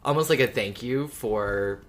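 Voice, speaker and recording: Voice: medium pitch, measured pace, a bit bright. A podcast co-host chatting casually in an informal, wry way. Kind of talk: casual chat.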